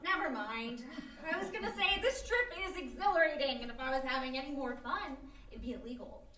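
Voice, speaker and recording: A woman playing a sullen, sharp-witted teenage girl in a radio comedy, deadpan and dismissive. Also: high voice, fairly quick, a crowd in the background. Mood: sarcastic. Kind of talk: old-time radio comedy acting before an audience. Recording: roomy live stage, distant mic.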